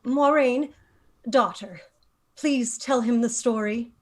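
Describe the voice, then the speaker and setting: Casting: woman to play fantasy matriarch, higher voice, authoritative and composed, table-read drama. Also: slow pace. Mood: firm, grave. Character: commanding, composed female leader of a magical order.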